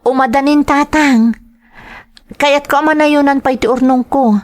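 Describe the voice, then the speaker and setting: A woman's voice: sits high, fairly even intonation, normal speed, a little mellow, natural voice. A woman playing a devoted young daughter who works abroad for her family in a dramatized audio drama. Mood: affectionate, earnest.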